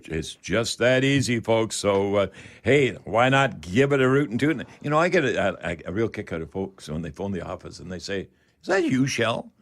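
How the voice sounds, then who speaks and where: A man's voice: on the low side, expressive, moderate pace. An older man with a Canadian accent hosting a chatty radio call-in show in a folksy, rambling manner.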